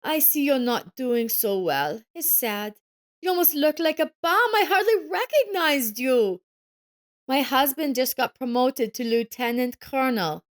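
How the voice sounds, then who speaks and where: A woman's voice: sits high, lively intonation, unhurried, voice pushed up. A woman voicing a sneering, condescending middle-aged ex-wife with a mocking, haughty manner in an audio story.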